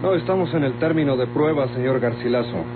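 An actor with a Mexican accent playing a calm, suspicious lawman, formal and pointed, in a vintage radio drama. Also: mid-range voice, fairly quick, close to an everyday voice. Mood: accusing. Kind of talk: Mexican golden-age radio drama acting. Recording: muffled vintage radio, loud hum and noise.

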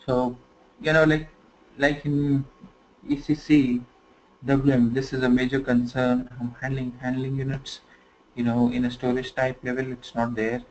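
Man giving a casual software tutorial. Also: medium pitch, flat delivery, measured pace. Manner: conversational, many "you know" fillers. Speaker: software trainer. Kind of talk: screen-recorded tutorial. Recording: slightly dull lo-fi screen recording with faint noise.

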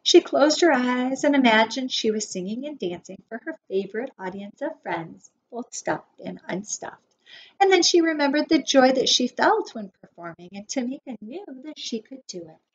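A woman in her forties reading a picture book aloud to preschoolers as their teacher, expressively and with measured emphasis on key words. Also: high-pitched, very animated. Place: small wood-panelled room indoors. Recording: dull webcam audio with faint noise.

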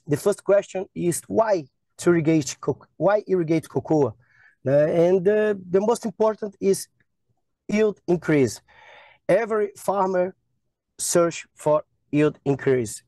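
A man in his thirties with a Brazilian accent and Portuguese as his first language gives a webinar talk. His voice is a little high and soft-edged, with a close, dry sound. His English is simple and not quite fluent. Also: no echo. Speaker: agronomist presenter. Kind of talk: webinar slide presentation. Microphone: video call.

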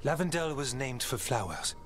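A man playing an earnest, gentle young elf warden in a fantasy video game, soft-spoken and sincere. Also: mid-range voice, expressive, normal speed, faint music behind, natural voice. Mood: hopeful. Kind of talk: video game cutscene acting.

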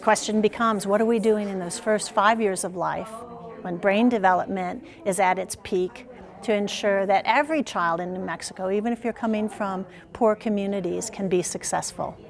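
A woman's voice: medium pitch, fairly even intonation, moderate pace. A woman educator speaking earnestly and persuasively in an interview. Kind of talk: interview statement. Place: TV studio.

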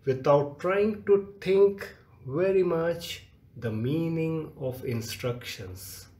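Male meditation guide, extremely slow delivery with long pauses between short phrases, soft-edged mellow tone, roomy echo. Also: a little high. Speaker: yoga nidra instructor. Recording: echoey, roomy sound.

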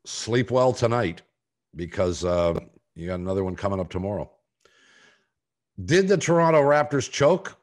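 Older man with very low voice. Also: animated, normal speed. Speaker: sports podcast host. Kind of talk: opinionated sports talk. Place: home room on a video call.

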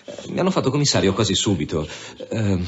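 A man's voice: fairly low pitch, big pitch swings, quick pace, lo-fi sound. An actor in an Italian radio drama playing a stubborn, curious police commissioner in his late thirties, with an insistent, clipped delivery. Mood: matter-of-fact.

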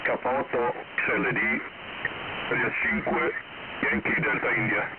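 Male radio operator, mid pitch, terse call-sign exchange, very narrow radio sound. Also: fairly even intonation, measured pace. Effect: radio transmission. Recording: narrowband satellite radio link, heavy hiss.

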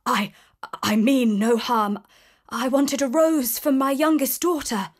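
A woman's voice: high voice, slow pace. A woman voicing a frightened older merchant, a father, speaking in a timid, pleading way. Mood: fearful.

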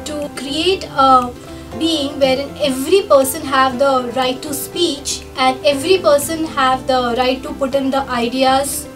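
A woman's voice: high voice, distant mic. A woman in her twenties giving an earnest, formally phrased campaign statement in a studio. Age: 20s. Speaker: election candidate. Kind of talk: short campaign statement to camera. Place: university TV studio.

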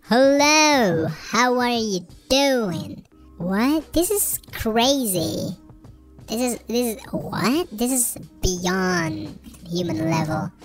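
A young man playing a little gnome through a voice changer that shifts his voice up, playful and amazed with drawn-out words. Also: expressive, faint music behind, full character voice. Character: little squeaky cheerful gnome. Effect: pitch-shifted up by voice changer.

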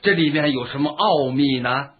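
A man's voice: fairly high pitch, moderate pace, natural voice. An actor playing an eager, inquisitive male ruler in a radio drama who keeps asking and exclaiming. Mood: curious. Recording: tinny phone-like lo-fi audio.

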